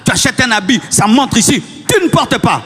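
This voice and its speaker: The kind of voice heard at a Congolese church service, a male preacher pitched high, urging the congregation over and over, his words ringing long through the hall. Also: sing-song, fairly quick, a bit bright, breathy edge. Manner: exhorting, repetitive, rhetorical questions to the congregation. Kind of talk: fiery sermon. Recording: long hall reverb, music underneath.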